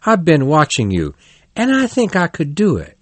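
Storybook role: male reader as crafty, puffed-up fat toad, pompous, very lively pitch, dry close sound. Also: normal speed, no room sound. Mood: sweet, pleading. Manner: pompous, theatrical.

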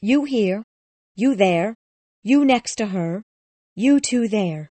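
A woman playing a bitter, imperious old bride obsessed with her death, sharp and commanding, in an acted audiobook. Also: mid-range voice, expressive, slow pace, no echo.

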